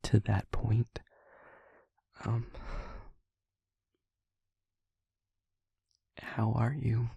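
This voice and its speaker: A male actor plays a self-doubting, guilt-ridden young man in an intimate audio drama. His husky voice is creaky and breathy, and he speaks slowly and earnestly, stumbling over words between heavy pauses. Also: on the low side, flat delivery, warm tone, no room sound, dull audio, exaggerated voice. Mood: gentle, sorrowful. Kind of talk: intimate audio roleplay drama, emotional monologue.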